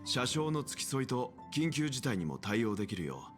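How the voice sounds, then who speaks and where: An actor voicing a steady male mentor in a game, calm and reassuring. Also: mid-range voice, quick pace, natural voice. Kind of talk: Japanese game voice acting. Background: game music.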